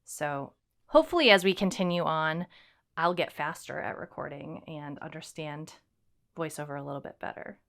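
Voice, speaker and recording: A woman's voice: low-pitched, moderate pace, creaky, a bit bright. A woman co-hosting a podcast in a casual, chatty style. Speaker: podcast co-host. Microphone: podcast microphone on a boom arm.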